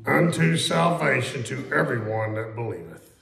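A pastor preaching a conversational sermon in a church, asking questions, repeating them and quoting scripture slowly. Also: male voice, mid-range voice, gravelly, fairly bright tone. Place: church sanctuary. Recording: echoey church room.

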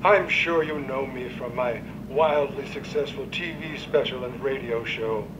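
An actor playing a smug, unhinged celebrity therapist, theatrical and full of clinical jargon, in a video game cutscene. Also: male voice, mid-range voice, unhurried, background noise, natural voice. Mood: grandiose, persuasive. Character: smug, unhinged, self-promoting celebrity therapist with delusions of grandeur.

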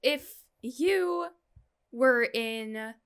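A woman with a high voice chats casually as a co-host. Her commentary is playful and mock-indignant, in the easy style of banter between colleagues. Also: expressive, drawn-out words, a bit bright, clean tone.

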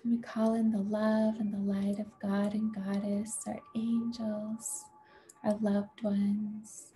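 A woman leading a guided meditation in a gentle, soothing, unhurried way, with a soft, breathy voice that often nears a whisper. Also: medium pitch, little pitch movement. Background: music.

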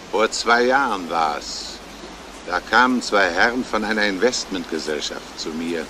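An actor playing a despairing, self-justifying schoolteacher in a halting, brooding confession, in a crime radio play. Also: male voice, lowish voice. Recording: noisy old radio play recording.

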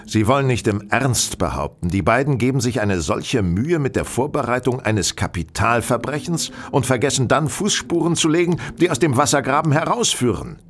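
A man's voice: medium pitch, animated, normal speed, hardly any pauses, lightly acted. An actor playing a shrewd, self-assured male detective in a German radio play, speaking with precise, theatrical courtesy. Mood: pointed.

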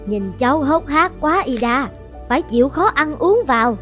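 A woman playing a kindly, concerned older neighbour auntie in an animated fairy tale, speaking in a soothing way. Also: sits high, normal speed, faint music behind.

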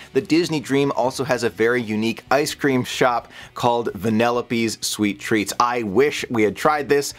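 A man in his thirties narrating a scripted travel vlog from his home office, upbeat and enthusiastic, seated at a desk camera. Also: mid-range voice. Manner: upbeat, enthusiastic, smiling delivery. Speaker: vlogger.

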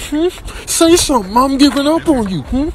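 A man's voice: very high voice, expressive, moderate pace, voice pushed up. Taunting bull moose , male comic voicing, cocky and mocking, prodding hums, noisy background.

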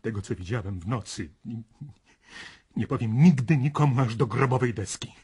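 Cautious professor, raspy, expressive, formal, emphatic, radio drama. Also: male voice, medium pitch, moderate pace. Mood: grave urgency. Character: male art professor, educated, cautious, earnest.

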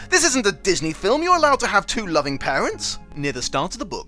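A man's voice: high voice, sharp tone. A young man hosting a scripted comedic review, sarcastic and prone to bursts of mock outrage. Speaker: video essay host.

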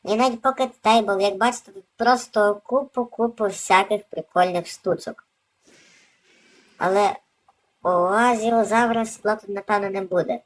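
A woman's voice: medium pitch, fairly even intonation, moderate pace, rough recording. Casting: female gaming host, mid-range voice, informal running commentary over gameplay.

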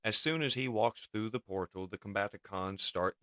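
A man's voice: fairly low pitch, moderate pace, sharp tone, no room sound, studio quality. A man giving dramatic scripted narration as the storyteller of a comic book reading.

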